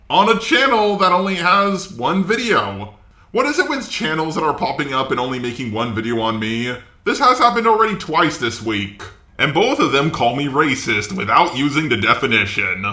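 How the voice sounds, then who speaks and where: A man's voice: a little high, sharp tone, echoey room. A man delivering scripted commentary in a sarcastic, exasperated way, with swearing. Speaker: commentary video creator.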